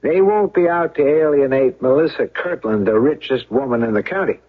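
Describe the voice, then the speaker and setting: A man plays an insistent, practical American friend in an old radio drama. His husky voice sits at a medium pitch, pressing each point emphatically and repeating himself. The sound is dull, like an old broadcast. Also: lively intonation, normal speed, lightly acted. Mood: firm, warning. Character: practical, wealthy, insistent loyal male friend of accused man.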